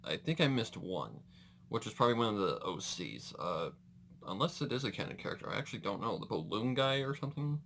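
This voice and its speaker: A man's voice: on the low side, sing-song, fairly quick, vocal fry, fairly bright tone, a little hiss or hum. A man hosting a reaction video with casual, rambling, exclamatory commentary.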